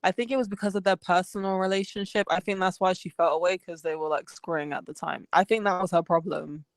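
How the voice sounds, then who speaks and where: A woman's voice: on the low side, fairly even intonation, quick pace, no room sound, studio quality. A woman chatting casually as a gossip panelist, pointed and challenging, often repeating herself for emphasis.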